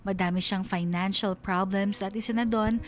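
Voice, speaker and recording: A woman's voice: medium pitch, fairly even intonation, moderate pace. A woman with a Filipino accent reading a scripted first-person story as a radio drama narrator. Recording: music bed under the voice. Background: background music.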